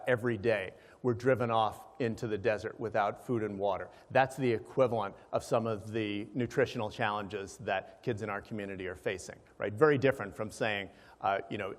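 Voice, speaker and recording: A man giving an explanatory presentation to a live audience, checking in with listeners, with hesitations as he goes. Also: on the low side. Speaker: conference speaker, organization president. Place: large hall, live event. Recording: reverberant hall sound.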